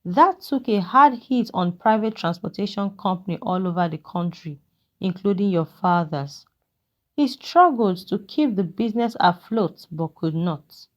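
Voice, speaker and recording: A woman's voice: medium pitch, lively intonation, a little slow, dull audio, close to an everyday voice. Woman voicing a fatherly old man. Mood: reminiscing, regretful. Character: kindly old wealthy man, regretful and reassuring. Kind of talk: character dialogue in a narrated folktale.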